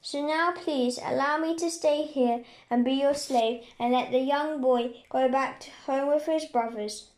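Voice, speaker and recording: An anguished, pleading brother in an amateur Bible drama, read by a woman. The voice is very high and the delivery halting, with small stumbles as the plea goes on. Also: darkish tone. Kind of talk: dramatic scripture reading.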